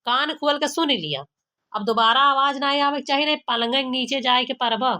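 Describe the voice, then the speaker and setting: Woman voicing sharp, high-voiced village daughter-in-law. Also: normal speed. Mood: scolding, disgusted. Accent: Awadhi. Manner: dramatic complaining, colloquial. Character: exasperated, embarrassed young village daughter-in-law, gossipy and scolding. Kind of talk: amateur cartoon comedy acting.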